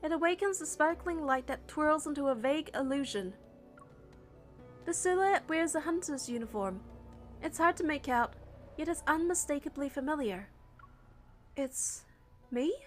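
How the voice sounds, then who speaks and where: Woman with a high voice narrating a dramatic story, expressive and suspenseful, music behind. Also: moderate pace, long pauses. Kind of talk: dramatic scripted first-person story narration.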